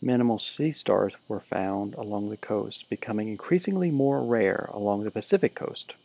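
Deadpan man narrating a mock documentary. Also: fairly low pitch, normal speed, vocal fry, low-quality recording. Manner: deadpan, listing roll call. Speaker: narrator of a class parody film.

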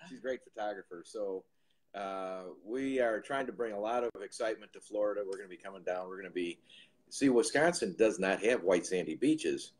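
Host in his fifties on a video call; low voice, chatty and rambling, with restarts. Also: brisk, a little mellow. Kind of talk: casual interview on a video call. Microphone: video call with earbud headset.

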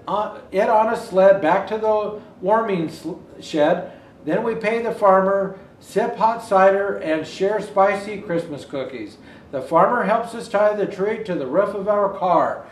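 An older man with a high-pitched voice reads a children's picture book aloud. He phrases things expressively and deliberately, stumbling now and then. The room adds a noticeable echo around his words. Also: lively intonation, distant mic. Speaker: Santa Claus storyteller. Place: indoor room with Christmas decor. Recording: roomy indoor echo.

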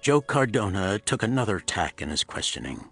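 A man narrating a pulp thriller as scripted storytelling, in a dramatic, measured manner. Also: on the low side, very animated, a bit bright, slightly rough, faint music behind.

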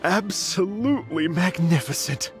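A man's voice: high-pitched, expressive, measured pace, music in the background. A man voicing a flamboyant stage performer in a video game, theatrical and gushing with thanks. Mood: effusive. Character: flamboyant male stage performer, grandly effusive.

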